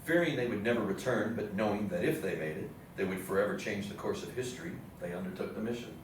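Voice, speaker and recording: A man narrates a scripted history play on stage in a fairly low voice. He sounds far from the mic in a strongly reverberant room, with faint noise underneath. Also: fast. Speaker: narrator in a readers theatre play. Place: live readers theatre performance in an echoey indoor space.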